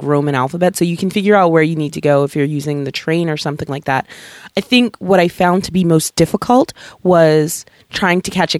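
A podcast host chatting casually, lively and talkative, swearing casually and acting out quotes. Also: mid-range voice, no echo, studio quality. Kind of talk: casual interview chat.

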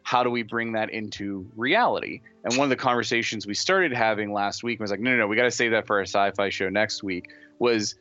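A man's voice: on the low side, fairly quick, few pauses, sharp tone, muffled recording, faint music behind. A man hosting a casual chat, steering toward lighter topics in a self-deprecating way.

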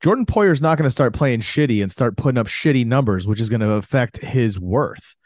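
Male sports podcast co-host, medium pitch, casual and opinionated, slangy, no room sound. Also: animated, brisk, darkish tone, no room sound.

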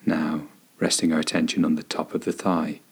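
Male meditation guide: deep, breathy-husky tone, gentle and soothing, unhurried delivery with room to pause. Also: level tone. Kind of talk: guided body scan meditation narration.

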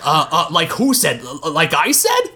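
A man's voice: a little high, huge pitch swings, measured pace, sharp tone, pitched above the performer's own voice, heavily acted. A harried burger-cook father, stammering and pleading as things spin out of hand. A man voices him while reading a story aloud, with an exasperated, flustered edge.

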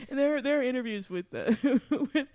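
A woman's voice: sits high, animated, measured pace, a crowd in the background. A woman co-hosting a podcast, chatting casually through a TV recap and often laughing as she talks. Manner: giggly, frequently laughing while talking. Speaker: podcast co-host. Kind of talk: casual TV episode recap chat.

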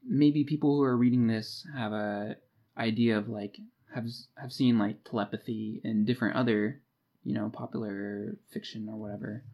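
A man's voice: lowish voice, fairly even intonation, moderate pace, creaky, muffled recording. A man co-hosting a casual book discussion, recapping a story in an informal way with wry, jokey asides. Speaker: podcast co-host.

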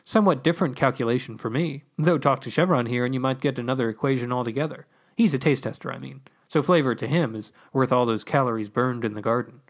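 A man's voice: mid-range voice, fast, hardly any pauses, natural voice. A man voicing a flustered, chatty escapee from the underworld in a story, rambling with mock-formal politeness. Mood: chatty, agreeable.

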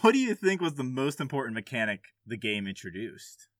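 Male podcast host, mid-pitched, dry sound, jokey and informal with some swearing. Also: sing-song, brisk, a bit bright, dry recording. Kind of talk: casual chat.

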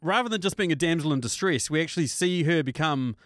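A young man with a New Zealand accent in casual chat, rambling, animated and swearing freely. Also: a little high, fast, no room sound, clean studio sound.

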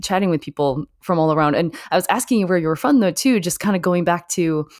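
A woman's voice: lowish voice, fast, darkish tone. Chatty, giggly woman podcast host. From Michigan, US Midwest. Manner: chatty, giggly, filler-heavy. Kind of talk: casual interview chat.

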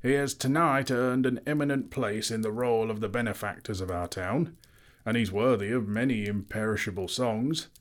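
A man voicing a wary, pompous old town master who speaks loud and clear in his own defence. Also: on the low side, moderate pace, pitched above the performer's own voice, close to an everyday voice. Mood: indignant.

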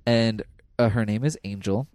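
A man's voice: fairly low pitch, normal speed, darkish tone, dry recording, clean studio sound. A man co-hosting a podcast, chatting casually and laughing as he rambles. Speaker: podcast co-host. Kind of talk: casual chat.

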